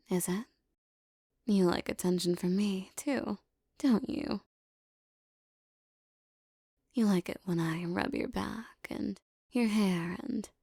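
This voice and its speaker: A woman playing a regal, possessive empress who coaxes and teases a captive servant in soft, condescending tones, often near a whisper, in an intimate roleplay audio. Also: mid-range voice, gravelly, dry recording, studio quality. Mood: teasing, seductive.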